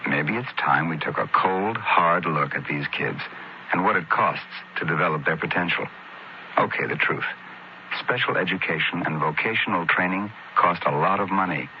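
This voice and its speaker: Male PSA announcer, very low voice, measured and plain-spoken, dull old radio with faint noise. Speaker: public service announcer. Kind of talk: scripted persuasive public service message.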